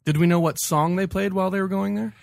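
A man's voice: sits high, steady tone, quick pace, vocal fry, no room sound, studio quality. A man co-hosting a podcast with casual, quippy, joking banter. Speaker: podcast co-host.

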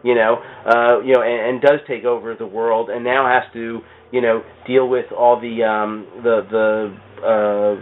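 Man, casual comics chat, rambling, lots of "you know," mid-pitched, thin phone-like sound. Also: flat delivery, a little slow, hardly any pauses. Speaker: podcast guest. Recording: tinny phone-like audio.

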